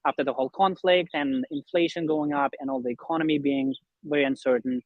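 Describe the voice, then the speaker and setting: A man's voice: mid-range voice, steady tone, a little fast, dry recording, phone-quality sound. A young man from Russia, an interview guest explaining his online business in a conversational way. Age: young adult.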